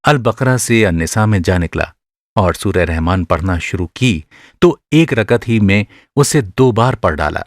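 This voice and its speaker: A man narrating a literary short story for a radio drama, with expressive, measured delivery and careful phrasing. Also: lowish voice, no echo, studio quality.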